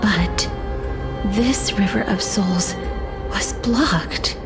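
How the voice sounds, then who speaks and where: An actress playing a solemn, pensive young woman in a video game, speaking in a measured, grave way that turns softly breathy at times. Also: medium pitch, loud music behind the voice. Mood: grave, thoughtful. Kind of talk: dramatic video game character acting.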